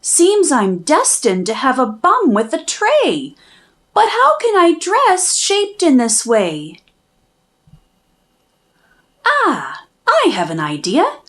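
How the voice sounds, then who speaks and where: A woman reading a rhyming children's storybook aloud with expressive, dramatic emphasis. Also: sits high, slow, pauses often. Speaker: children's book narrator, channel host.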